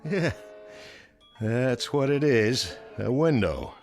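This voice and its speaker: An actor playing a folksy, obliging big-city shopkeeper in a radio drama, chatty and colloquial. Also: male voice, mid-range voice, sing-song, takes it slowly, darkish tone, faint music behind.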